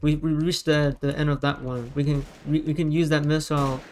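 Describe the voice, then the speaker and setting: A man from the United States giving casual gameplay commentary, rallying teammates with quick repeated calls. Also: fairly high pitch, little pitch movement, slight background noise. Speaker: gamer streamer.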